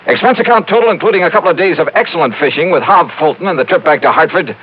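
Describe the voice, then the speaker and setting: An actor playing a hard-boiled insurance investigator in a 1950s radio drama, with an American accent and a clipped, dry, assured delivery. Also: male voice, fairly high pitch, very fast, husky. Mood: matter-of-fact. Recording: muffled old radio broadcast, loud music.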